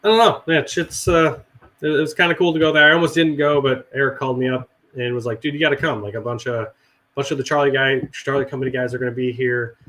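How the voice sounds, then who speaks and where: Man, middle-aged, raspy, hoarse from a cold, rambling casual talk, occasional swearing. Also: mid-range voice, steady tone, quick pace, a bit bright. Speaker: veteran guest and actor. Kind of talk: casual chat.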